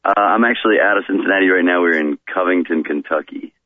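A man with a remarkably deep voice speaks as a radio guest, easing through his answers with fillers and restarts. The sound comes down a dry, tinny phone line. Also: no echo. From Florida, raised in rural Maryland. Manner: relaxed, unhurried, with many fillers and restarts. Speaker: touring musician guest.

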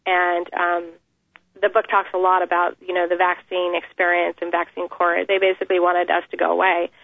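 A woman in an interview, giving an earnest, measured account of her family with many pauses. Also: fairly low pitch, monotone, vocal fry, no room sound. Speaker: interview guest, mother. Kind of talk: phone interview, personal account. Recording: tinny phone line.

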